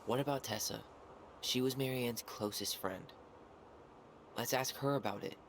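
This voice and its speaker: A man's voice: medium pitch, moderate pace, fairly bright tone, a little hiss or hum, lightly acted. A man playing a wounded, stubborn young man in naturalistic video game dialogue, earnest and blunt. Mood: probing. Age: young adult.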